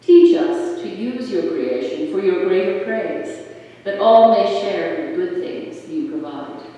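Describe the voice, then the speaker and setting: A lay reader leading prayers of intercession in a church service, reading slowly with slips and self-corrections. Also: medium pitch, big pitch swings, dark tone. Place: large church with stone walls. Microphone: distant room mic. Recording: echoey church from far off.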